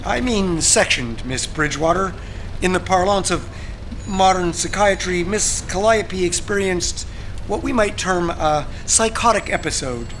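Bright, high-pitched, fussy male agent. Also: lively intonation, measured pace, a little hiss or hum, heavily acted, rough recording. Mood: grave, condescending. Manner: fussy, formal, condescending. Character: prissy, evasive, exasperated male publishing agent. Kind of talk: audio drama acting.

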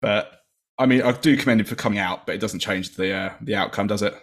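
Chatty man, low-pitched. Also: fast. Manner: chatty, lively, fillers like "you know". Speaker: podcast guest, fellow host. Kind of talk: casual football podcast chat.

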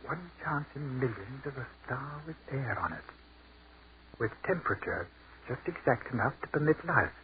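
A man acting an awestruck captain in an old-time radio horror play. He is intense and dramatic, halting between phrases, his pitch moving widely. The sound is muffled 1940s radio with a light hiss. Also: animated, lightly acted. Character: earnest army captain, awestruck by the afterlife.

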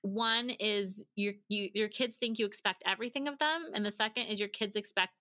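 A middle-aged woman giving advice as a podcast guest in a casual interview, chatty and animated and given to laughing. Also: medium pitch, brisk. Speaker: podcast guest, language-learning company founder.

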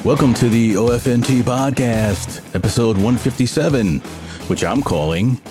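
A man's voice: mid-range voice, moderate pace, over music. A man hosting a podcast, reading scripted tech news commentary with a dry, wry delivery. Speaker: podcast host.

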